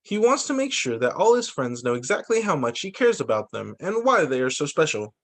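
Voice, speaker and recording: A man who teaches young children, reading picture books aloud in an expressive, sing-song storytime delivery. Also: a little high, brisk. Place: remote video call story session.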